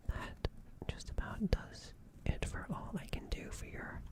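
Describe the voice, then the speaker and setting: A performer playing a gentle, caring alien in an ASMR roleplay, speaking in a breathy, soothing whisper with some hesitation. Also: a little slow, lightly acted. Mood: caring, attentive. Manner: soft, hesitant, soothing, repeats reassurances. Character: gentle caring alien tending a crash-landed human.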